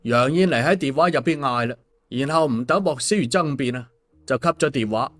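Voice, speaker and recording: A man's voice: medium pitch, brisk, fairly bright tone. A man narrating a scripted story as an audiobook storyteller. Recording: music behind the voice. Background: background music.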